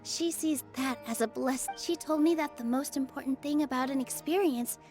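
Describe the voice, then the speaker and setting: A woman playing a gentle, encouraging young theater dancer in a video game, with an earnest, soothing delivery. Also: sits high, moderate pace, faint music behind, close to an everyday voice. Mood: thoughtful.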